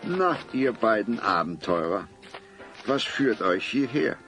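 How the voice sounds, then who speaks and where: A hospitable older townsman, talks very slowly and with a gravelly rasp. He is genial and philosophical, in a radio play with soft music behind. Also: fairly low pitch, big pitch swings, exaggerated voice. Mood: genial, welcoming. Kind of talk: German radio play acting.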